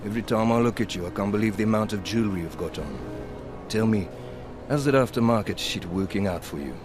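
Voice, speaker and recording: Man playing a deep-voiced cocky veteran pilot. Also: quick pace, over music, natural voice. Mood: cocky, needling. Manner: blunt, colloquial, sardonic. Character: cocky, blunt veteran male pilot, cynical and needling. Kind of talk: video game cutscene acting.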